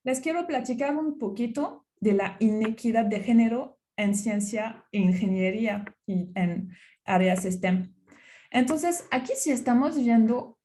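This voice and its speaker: A woman's voice: on the high side, warm tone. A middle-aged woman from France with a French accent gives a conference talk as a university researcher, with many fillers and restarts.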